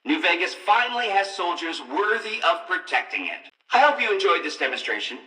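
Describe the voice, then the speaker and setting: A roomy, slightly distant voice of a gleeful combat robot, showing off like a salesman. It is bright and highly animated, full of exuberant boasting for a video game demonstration. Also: a little fast, exaggerated voice. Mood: upbeat, boastful. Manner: salesman-like patter with exuberant exclamations. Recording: roomy echo, distant from the mic.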